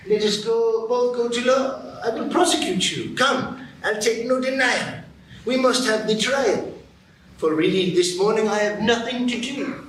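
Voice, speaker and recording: A man voicing a touchy, long-winded male mouse in a live radio play, slow and offended, in an echoey room. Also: high-pitched, a bit bright. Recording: echoey stage, distant mic, room noise.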